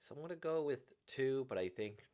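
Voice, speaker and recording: A man's voice: medium pitch, expressive, brisk, warm tone. A man giving casual playthrough commentary as a video host reacting to what he reads.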